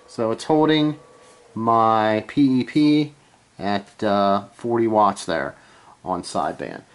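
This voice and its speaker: A man giving a casual, hands-on gear demonstration, halting and unscripted as he thinks aloud from behind the camera in a room at home. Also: lowish voice. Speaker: amateur radio hobbyist, presenter. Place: small room at home, indoors.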